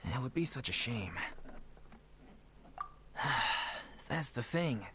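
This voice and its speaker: Earnest, animated troupe member. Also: male voice, mid-range voice, takes it slowly, slight background noise. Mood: resigned. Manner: polite, earnest, rueful. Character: earnest, anxious amateur theater troupe man, apologetic and downcast. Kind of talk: video game character acting. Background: game music.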